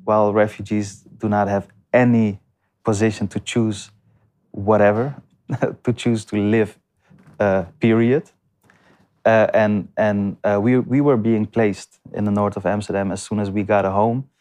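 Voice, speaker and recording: A man with a fairly low voice talks through his answers at an unhurried pace. He stops and restarts often, leaning on fillers as he works out what to say. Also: steady tone, darkish tone. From came to the Netherlands as a refugee. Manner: halting, many restarts and fillers. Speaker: guest. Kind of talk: conversational interview answers. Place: lives in north Amsterdam.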